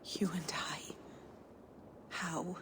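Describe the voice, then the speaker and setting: A woman's voice: fairly low pitch, steady tone, drawn-out words, in a whisper. A woman playing a dutiful young giantess, a lord's daughter, in a video game, earnest and hesitant. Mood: bewildered, tender. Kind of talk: dramatic video game acting. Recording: game cutscene with clear background noise.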